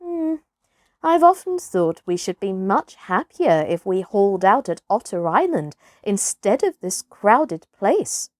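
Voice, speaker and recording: A woman voicing a patient, gentle mother seal with a soft, musing manner, for a storybook. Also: mid-range voice, sing-song, unhurried, no echo, clean studio sound.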